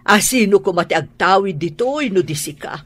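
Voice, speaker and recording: A woman's voice: medium pitch, expressive, normal speed, fairly bright tone, breathy edge. A woman acting a stern, proud middle-aged mother in a radio drama. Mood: pleading. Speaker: mother disowning her son.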